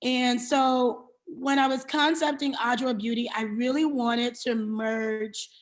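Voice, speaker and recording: A woman's voice: a little high, steady tone, slow pace. An American woman from New York City giving reflective, candid interview answers, explaining and restating her points for emphasis. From New York City, USA. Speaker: interview guest, beauty brand founder and CEO.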